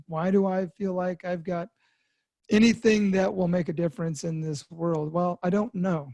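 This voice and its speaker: Fifty-something guy talking about his life, lots of ums and restarts, soft mellow voice, kinda high, dry sound. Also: dry recording. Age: 50s. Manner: halting, with many fillers and restarts. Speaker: podcast host sharing recovery story. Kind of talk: candid personal monologue.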